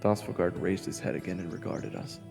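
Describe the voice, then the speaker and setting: A man's voice: deep voice, brisk, vocal fry, long reverb tail, music in the background. A man playing a cheeky, anxious young hero who narrates a fantasy audio drama with casual, wry asides. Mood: reflective.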